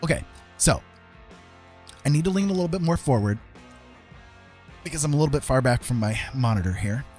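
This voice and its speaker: A man's voice: medium pitch, lively intonation, a little fast, long pauses, darkish tone, faint music behind. A man casually streaming a digital painting tutorial, rambling and thinking aloud as he works.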